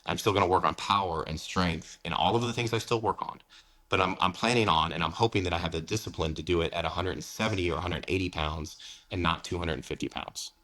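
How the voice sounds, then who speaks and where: Deep-voiced, fast-talking blunt American man. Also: fairly bright tone. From United States. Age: 40s. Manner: blunt, candid, peppered with "man" and "you know". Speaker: guest, fitness coach. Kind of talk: casual podcast interview.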